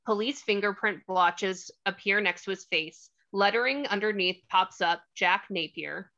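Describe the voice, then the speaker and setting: A woman narrates a script's stage directions at a table read. Her mid-pitched voice is bright and sharp. The recording is a little lo-fi. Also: measured pace. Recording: slightly dull lo-fi audio.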